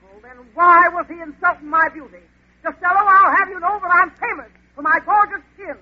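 A woman's voice: very high-pitched, steady tone, brisk. A woman playing a shrewish, vain, hot-tempered wife, haughty and scolding, in a 1940s radio comedy. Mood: furious. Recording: dull, noisy old radio recording.

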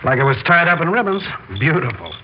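An actor playing a cocky, menacing young kidnap gang leader in an old radio crime drama, slangy, bossy and taunting. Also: male voice, fairly high pitch, big pitch swings, fast. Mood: smug, bossy. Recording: noisy, muffled vintage radio recording.

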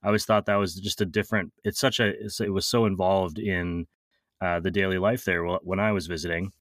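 A man with a low voice hosts an interview and asks questions in a relaxed way. He hesitates often, using fillers and restarting his sentences. The sound is dry and close, with no room echo. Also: brisk. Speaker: interview host.

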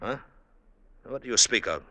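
An actor playing a quarrelsome, greedy elder-brother farmer in an old-time radio drama, with theatrical, formal phrasing. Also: male voice, mid-range voice, animated, moderate pace, sharp tone. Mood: irritated, puzzled.

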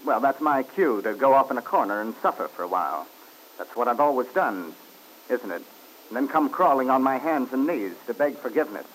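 A man voices a cold, domineering husband in an old radio drama. He drawls endearments with mockery and a lively, swooping pitch. Faint hiss sits under the broadcast. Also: a little fast, close to an everyday voice, poor audio. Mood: mocking. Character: cold, commanding husband named Paul. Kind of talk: 1940s radio drama acting.

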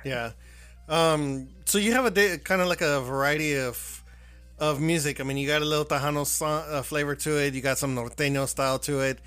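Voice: medium pitch, a little fast, a bit bright, smooth. A podcast host interviewing a guest, mostly giving brief acknowledgments and asking about her music.

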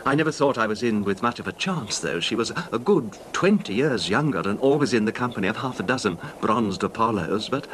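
Genteel, wistful middle-aged recluse, noisy recording. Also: male voice, fairly low pitch, expressive, a bit bright. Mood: wistful, reminiscing. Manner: genteel, wistful, halting, formal wording. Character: wealthy middle-aged recluse husband, genteel, hesitant, devoted, nervous. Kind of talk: old time radio drama acting. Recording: noisy vintage radio drama recording.

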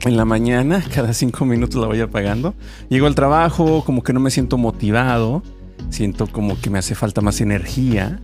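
A man's voice: medium pitch, brisk, a little mellow. A male podcast host opening the show with an upbeat, chatty, colloquial greeting. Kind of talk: casual podcast intro. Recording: music bed underneath.

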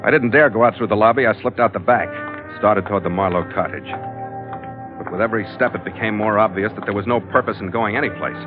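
Muffled hardboiled skipper played by an actor, music behind. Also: male voice, on the low side, fast, lightly acted. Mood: grim. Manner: terse, wry, world-weary. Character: hardboiled, weary ship's skipper narrating his troubles. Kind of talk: old radio drama narration and dialogue. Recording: muffled vintage radio recording.

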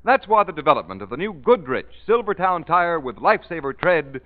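A man announces in the emphatic, formal manner of 1930s radio. His fairly high voice rises and falls a great deal as he sells and narrates. The old broadcast recording sounds thin and tinny. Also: very animated, a little fast.

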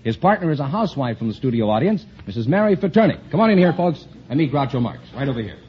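A man's voice: medium pitch, big pitch swings, quick pace. An American man working as a radio show announcer, introducing things in a polished presenting style. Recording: muffled vintage radio broadcast, noisy audience.

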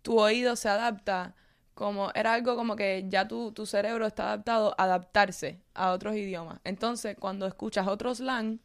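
A woman's voice: mid-range voice, fairly even intonation, normal speed, no room sound, clean studio sound. A young woman from Cuba living in Miami, speaking Spanish with a Cuban-Miami accent and dropping English words into her sentences in casual chat. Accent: Miami Cuban Spanish with English mixed in. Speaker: content creator.

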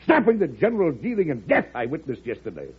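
An actor playing a weary, resolute wartime president in a vintage radio drama, measured and grave with a stern edge. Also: male voice, a little high, huge pitch swings, husky, exaggerated voice. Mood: stern, indignant. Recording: muffled, narrow-band old radio broadcast.

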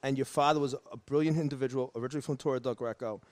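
A man hosting a casual podcast chat, talking in a rambling, animated way. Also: medium pitch, dry recording. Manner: rapid-fire, rambling, animated. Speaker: podcast host. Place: pastry shop.

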